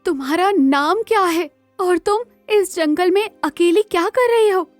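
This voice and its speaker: Slow, gracious storybook queen played by a woman. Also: very high-pitched, dry recording, soft background music, pitched above the performer's own voice. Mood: kind concern. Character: kind, composed queen in a folk tale. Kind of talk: dramatised cartoon storybook dialogue.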